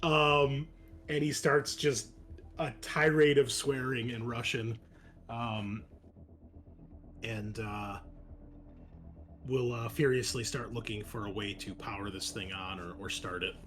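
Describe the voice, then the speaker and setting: A man's voice: mid-range voice, fairly even intonation, takes it slowly, long pauses, a bit bright. A man taking part as a player in a tabletop roleplaying game, chatting casually through his character's moves.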